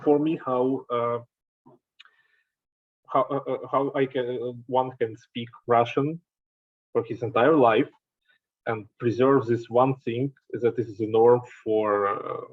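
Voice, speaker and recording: A man, not a native English speaker, hosting a conversational discussion, stumbling and repeating words as he searches for them. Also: medium pitch. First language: Ukrainian. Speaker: host. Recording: dull lo-fi audio.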